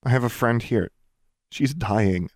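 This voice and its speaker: A man's voice: medium pitch, unhurried, no room sound, clean studio sound, voice pushed up, natural voice. A man voicing a teenage girl witch who is desperate, defiant and insistent, as in an audiobook. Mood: urgent, pleading. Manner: insistent, challenging.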